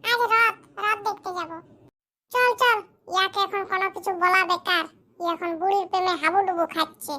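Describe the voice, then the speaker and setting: A performer voicing a squeaky, indignant young man in a comedy cartoon, bickering. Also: steady tone, normal speed, sharp tone. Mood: scheming, bickering. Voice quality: squeaky, artificially high voice. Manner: scolding, bickering, rhetorical questions. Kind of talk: exaggerated comic cartoon dialogue in rural dialect.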